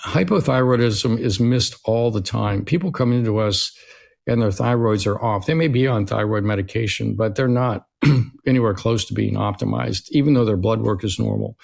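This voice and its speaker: A man's voice: fairly low pitch, moderate pace. A middle-aged male physician being interviewed, explaining health topics at length and often checking in with "right?". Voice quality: gravelly, husky. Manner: steady explainer who often tags "right?". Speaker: longevity physician guest. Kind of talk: conversational explaining.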